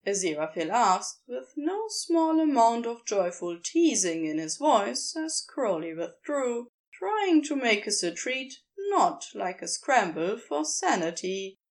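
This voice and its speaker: A woman reading scripted fiction as a narrator, in an expressive storytelling style. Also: fairly high pitch, takes it slowly, hardly any pauses. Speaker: podfic narrator.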